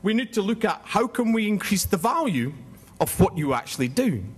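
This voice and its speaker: Scottish male speaker, high voice, loud, jokey, quizzes the audience. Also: sing-song, moderate pace, husky. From Scotland. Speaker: conference speaker. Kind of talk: seminar presentation.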